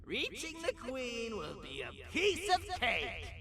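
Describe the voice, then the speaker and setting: A man playing a theatrical, self-obsessed jester creature in a video game, desperate for laughs and swinging between hushed brooding and shrieking. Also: very high-pitched, wildly swooping pitch, slow, vocal fry, crowd noise, full character voice. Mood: gloating, manic. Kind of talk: video game villain monologue.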